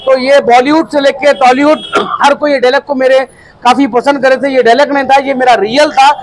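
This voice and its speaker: A man's voice: extremely high pitch, fairly even intonation, brisk, no room sound, low-quality recording. A young man with a Hyderabadi accent giving a comic, exaggerated promotional speech into news mics in a crowded eatery. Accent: Hyderabadi Hindi-Urdu. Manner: theatrical, comic, exaggerating, stretched-out words. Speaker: restaurant owner promoting his food court. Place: crowded small eatery indoors. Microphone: cluster of handheld news mics.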